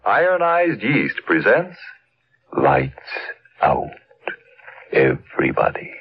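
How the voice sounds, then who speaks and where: A man's voice: lowish voice, huge pitch swings, exaggerated voice. A man playing an ominous announcer of a 1940s radio horror show, drawing out his words. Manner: ominous, drawn-out delivery. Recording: muffled 1940s radio recording, loud music.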